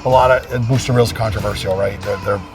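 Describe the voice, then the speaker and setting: A man's voice: on the low side, fairly even intonation, fast talker, distant mic, music in the background. An American man, a fire chief, explaining equipment in a practical, plain-spoken way during a walk-through. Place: outdoors around a fire truck.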